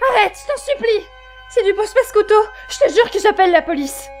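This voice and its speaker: A woman's voice: extremely high pitch, animated, a little fast, faint music behind. A woman playing a distressed wife in an audio drama, anxious and pleading. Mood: horrified. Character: distressed wife torn between two versions of husband. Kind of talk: amateur audio drama acting.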